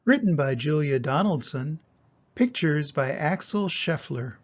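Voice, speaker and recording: A man's voice: fairly high pitch, animated, unhurried, lo-fi sound. An older man, a grandfather, reading a children's story aloud expressively.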